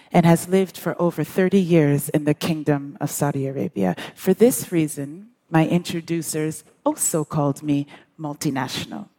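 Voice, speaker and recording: A woman from the United States giving a prepared conference talk, with measured, deliberate phrasing and dramatic pauses. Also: sits low, a little mellow. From grew up in the United States. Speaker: author, public speaker. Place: on stage at a live conference.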